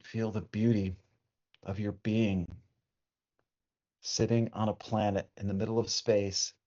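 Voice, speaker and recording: Low-voiced man guiding meditation, muffled sound. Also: level tone, darkish tone. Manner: soothing, unhurried, with long pauses. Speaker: meditation teacher. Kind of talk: guided meditation.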